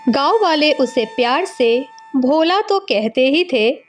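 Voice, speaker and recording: A woman's voice: high-pitched, expressive, slow, soft background music. A woman narrating a scripted village story as a storyteller.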